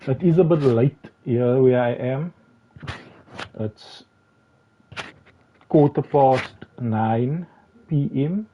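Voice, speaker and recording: A slightly hoarse man commentating his game live, talking haltingly with many restarts and fillers. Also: mid-range voice, slight background noise. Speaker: game streamer. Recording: tinny phone-like sound with faint music.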